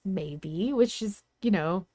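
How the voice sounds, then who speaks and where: A woman's voice: mid-range voice, expressive, slow pace, mellow, dry recording, studio quality. A woman hosting a podcast in casual chat, with an enthusiastic, playful manner. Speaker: podcast host.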